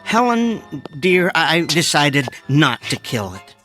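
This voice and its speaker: Man playing an earnest, animated amateur scientist. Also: on the high side, slow, faint music behind, exaggerated voice. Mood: earnest, pleading. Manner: hesitant, stammering, earnest. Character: eccentric, mild-mannered male amateur scientist, henpecked husband. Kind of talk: radio drama acting.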